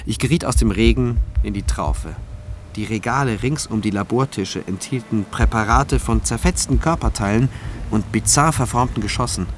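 A man's voice: on the low side, moderate pace, a little hiss or hum, close to an everyday voice. A man playing a weary, dutiful state prosecutor, narrating soberly and introspectively in a radio drama. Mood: bleak, sober. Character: weary, dutiful male state prosecutor telling his story. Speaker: narrating lead actor. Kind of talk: first-person radio drama narration and dialogue.

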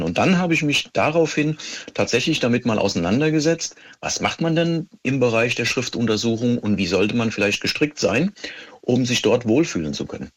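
A man, answering interview questions as an expert in a measured, matter-of-fact way with careful phrasing. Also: medium pitch. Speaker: police handwriting expert, interview guest.

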